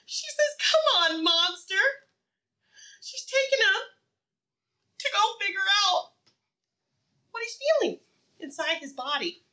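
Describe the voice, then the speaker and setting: Animated, high-voiced woman teaching preschoolers. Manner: animated, encouraging, sing-song questioning with pauses for answers. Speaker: preschool teacher reading aloud. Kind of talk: interactive read-aloud lesson for young children.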